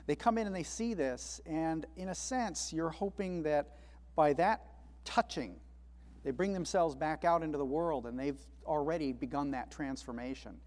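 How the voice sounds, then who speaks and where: Man, fairly high voice, panelist; reflective, lively with quoted dialogue; long studio reverb. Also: normal speed. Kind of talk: panel discussion.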